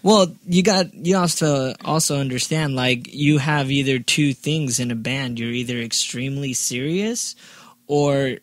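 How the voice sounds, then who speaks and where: A man's voice: mid-range voice, unhurried, no echo, studio quality. A man in his twenties hosting a podcast in a slangy, joking, casual chat style. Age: 20s. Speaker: podcast host.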